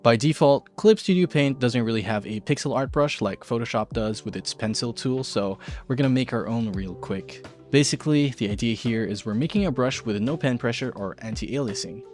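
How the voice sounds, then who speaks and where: A man's voice: medium pitch, animated, moderate pace, hardly any pauses, a little mellow, faint music behind. A man hosting an art tutorial video, narrating in a semi-scripted but casual way with playful, tongue-in-cheek asides. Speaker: video show host, art tutorial presenter.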